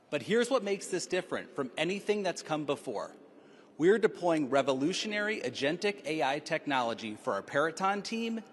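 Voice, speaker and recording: A man's voice: mid-range voice, fairly bright tone, long reverb tail. A man, a company executive, giving a scripted public presentation in an emphatic, sales-like manner.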